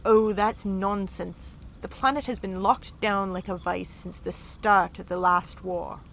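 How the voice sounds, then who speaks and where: A woman's voice: mid-range voice, steady tone, measured pace, creaky, a bit bright, slight background noise. A woman playing a haughty, savage countess in a sci-fi audio drama, languid, mocking and menacing. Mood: cool disdain. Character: haughty, savage countess, cool sardonic schemer. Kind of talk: full-cast sci-fi audio drama acting.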